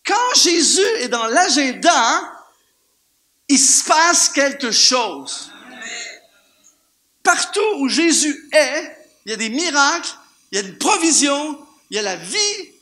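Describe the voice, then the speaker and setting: A man with a Canadian French accent preaching an animated sermon to a church congregation, firing off rhetorical questions and repeating words for emphasis. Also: very high-pitched, slow, long pauses. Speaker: guest preacher. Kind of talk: lively sermon.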